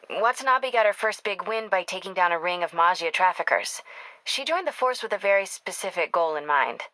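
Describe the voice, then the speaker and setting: Young American investigative reporter, video game: mid-pitched, crisp bright edge, sharp and determined, wry natural phone delivery. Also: female voice, dry recording, poor audio. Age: young adult. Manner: wry, brisk, conversational.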